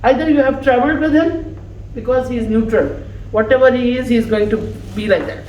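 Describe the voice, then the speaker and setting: Woman with urdu as her first language, slow warm tribute speech, sounds far off in a roomy echoey space with a bit of hiss. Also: a little high. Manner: slow, warm, emphatic, laughing between phrases. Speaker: speaker at a farewell gathering. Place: office gathering room. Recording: echoey room from far off, faint noise.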